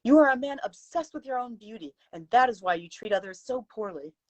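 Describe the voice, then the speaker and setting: A woman's voice: fairly high pitch, lively intonation, brisk, darkish tone, dry recording, voice pushed up. A woman voicing a stern, outspoken Victorian lady's companion with a prim, emphatic, reproving manner in a story reading. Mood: indignant, scolding. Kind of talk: live dramatic story reading.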